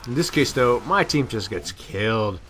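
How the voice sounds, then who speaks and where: A man's voice: mid-range voice, lively intonation, moderate pace, fairly bright tone, a little hiss or hum. Man casually rambling through gameplay commentary. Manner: rambling, self-correcting, crude slangy talk. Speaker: gaming video commentator.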